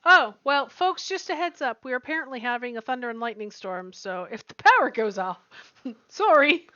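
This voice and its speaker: A woman chats casually as co-host of a livestream. Her voice is high and bright. The audio around it is slightly muffled. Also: animated, normal speed. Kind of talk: casual banter.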